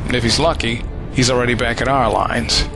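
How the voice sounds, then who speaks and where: A man voices a tough army sergeant who talks in blunt, slangy bursts. His pitch sits in the middle, with music under the audio drama. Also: steady tone, a little fast, lightly acted. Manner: streetwise, blunt, casual slang.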